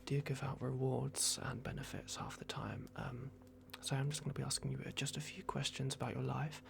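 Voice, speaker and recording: A man in an ASMR roleplay, playing a polite, flustered vampire at a clinic in a hushed, hesitant, apologetic way. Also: medium pitch, little pitch movement, moderate pace, creaky.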